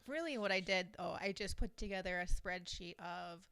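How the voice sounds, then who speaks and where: A woman's voice: lowish voice, normal speed, a bit bright, clear, resonant tone, no room sound. Chatty woman with a cold. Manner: chatty, laughs often. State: has a cold. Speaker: podcast co-host. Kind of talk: casual chat.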